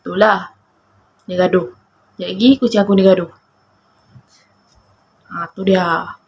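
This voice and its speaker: A woman's voice: fairly low pitch, fairly even intonation, moderate pace. A woman in her twenties with a Malaysian Malay accent, chatting casually to her viewers while rambling and getting distracted by her cat. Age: 20s. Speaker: vlogger. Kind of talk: casual chat to camera.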